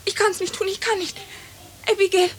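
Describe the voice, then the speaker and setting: Terrified servant girl, extremely high voice, desperate pleading, vintage radio drama with background noise. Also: level tone, normal speed. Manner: pleading, panicked. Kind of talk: mid-century German radio drama acting. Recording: noisy vintage 1950s radio recording.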